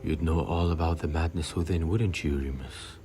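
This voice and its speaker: A man's voice: extremely low pitch, normal speed, dark tone, soft background music. A man playing a bitter, vengeful escaped prisoner wizard in an audio drama, brooding and menacing in a theatrical way. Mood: bitterly taunting. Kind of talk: fan audio drama acting.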